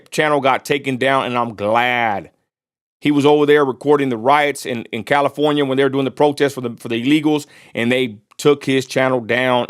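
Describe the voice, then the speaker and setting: Blunt, profane West Texas man ranting. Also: mid-range voice. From Texas, USA. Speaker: livestream host. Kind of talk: casual ranting livestream commentary.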